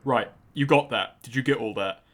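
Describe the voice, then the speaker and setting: A man's voice: medium pitch, moderate pace, fairly bright tone, slight background noise. A man giving scripted, sardonic and profane game commentary. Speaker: game reviewer.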